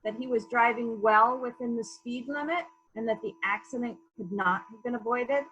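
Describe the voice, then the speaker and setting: A woman playing a calm, persistent police investigator in a murder mystery reading, formal, measured and politely probing. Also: on the high side, dull audio, close to an everyday voice.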